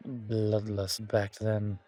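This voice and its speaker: A man playing a suave, centuries-old vampire in a horror ASMR roleplay, languid and genteel with quiet menace and a husky, breathy voice. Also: lowish voice. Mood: wistful, indulgent.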